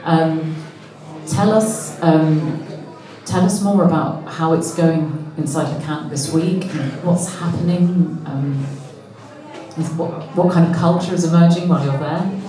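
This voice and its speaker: A woman interviewer asking questions in a measured, reflective way. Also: sits low, fairly even intonation, warm tone, far from the mic. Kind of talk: conversational interview. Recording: echoey room, voice from off mic.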